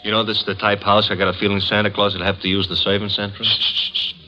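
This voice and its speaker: An actor playing a simple-minded comic sidekick in a 1950s radio drama, talking earnest rough slang and stammering when nervous. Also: male voice, lowish voice, flat delivery, fast, loud music behind the voice, close to an everyday voice. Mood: jokey. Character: naive, comic male sidekick, a bit slow. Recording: dull old radio broadcast with hiss.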